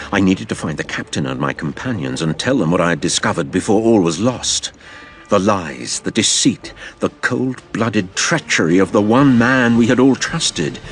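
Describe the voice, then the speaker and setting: An actor playing an earnest adventurer who recounts his tale in dramatic, scripted audio drama narration. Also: sits low.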